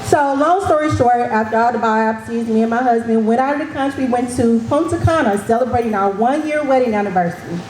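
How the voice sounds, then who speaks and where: Woman, mellow voice, earnest urging talk, echoey hall. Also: fairly high pitch, brisk, hardly any pauses. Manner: earnest, urging, repeats key points. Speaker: cancer survivor speaking at an event. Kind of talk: personal testimony and advice to an audience. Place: event hall. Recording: echoey hall with faint noise.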